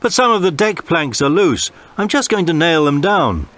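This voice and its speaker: Here is a man acting a plucky young cabin boy from a storybook. He sounds eager and earnest, pitched high, with clear noise in the recording. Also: big pitch swings, normal speed, a bit bright.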